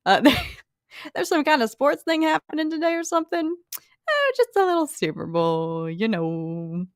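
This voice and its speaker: A woman's voice: sits high, sing-song, measured pace, no room sound, studio quality. A young woman from Philadelphia with a light Philly accent, chatting casually and giggling as she reads comments on a live stream. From Philadelphia, USA. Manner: chatty, giggly, lots of "like" and restarts.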